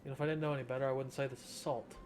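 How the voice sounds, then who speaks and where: Young male streamer, casual play-by-play, joking and excitable, soft game music in the background. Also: mid-range voice, racing. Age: young adult. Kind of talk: casual gameplay commentary.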